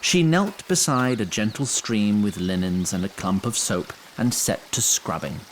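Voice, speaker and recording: A man's voice: lowish voice, lively intonation, measured pace, a little hiss or hum. A man narrating a scripted fantasy story as a dry, literary storyteller.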